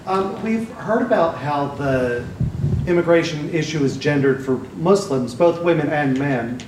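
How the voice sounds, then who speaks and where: Booming guy asking a question from the crowd, echoey, far off. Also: animated, unhurried. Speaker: audience member asking question. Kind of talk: question from the floor. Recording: echoey room from far off with noise.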